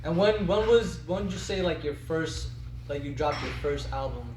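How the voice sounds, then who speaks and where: A man hosting a casual interview, asking questions and chiming in with "okay, okay.". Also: a little high. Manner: quick affirmations, repeats "okay, okay". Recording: distant, echoey, loud background noise.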